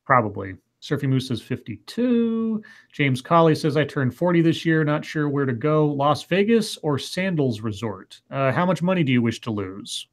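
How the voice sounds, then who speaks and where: A man in his late thirties co-hosting a casual online chat show, reading viewer comments aloud with dry, deadpan reactions. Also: on the high side, moderate pace. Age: late 30s.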